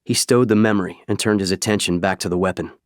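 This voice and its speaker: A man narrates an audiobook in a fairly low voice. The scripted fiction reading is recorded close and very dry. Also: quick pace, dry recording.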